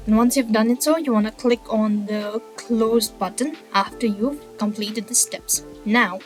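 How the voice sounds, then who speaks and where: Higher-pitched woman narrating a software tutorial. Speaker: tutorial host. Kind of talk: step-by-step software screencast narration. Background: background music.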